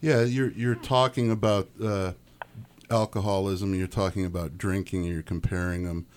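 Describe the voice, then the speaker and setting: A man hosting a radio show, asking questions in a halting, searching way. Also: sits low, fairly even intonation, a little mellow, slight background noise. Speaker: radio host.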